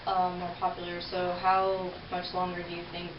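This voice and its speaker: A woman's voice: on the low side, monotone, normal speed. Female interviewer, distant and noisy. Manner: brief, encouraging backchannels. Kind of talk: casual interview. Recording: echoey, dull, distant, noisy.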